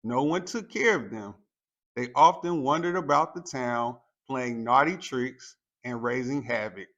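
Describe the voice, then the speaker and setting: A man with a mid-range voice reads a children's story aloud. His pace is slow and deliberate, and his delivery is expressive, shaped for young listeners. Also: medium pitch, highs cut off. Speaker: storytime reader. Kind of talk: children's picture book read-aloud, scripted narration.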